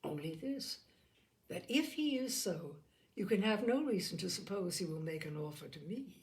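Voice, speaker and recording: A woman plays a young gentlewoman in a classic novel audiobook, composed and quick-witted. Her replies are cool, measured and pointedly defiant. The voice sits at a middling pitch with lively inflection. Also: natural voice. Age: young adult.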